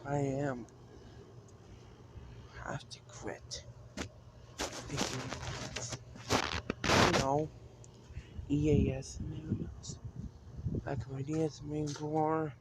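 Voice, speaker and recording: Halting, flat-toned man on a noisy recording. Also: on the high side. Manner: halting, repeats and restarts phrases. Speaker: video creator announcing a decision to fans. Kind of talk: unscripted vlog-style talk.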